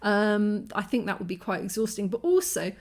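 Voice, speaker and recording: A woman vlogging to camera, exhausted from lack of sleep, her voice croaky and strained as she rambles in frustration with many ums and restarts. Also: medium pitch, very animated, normal speed, darkish tone. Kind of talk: candid talking-head vlog.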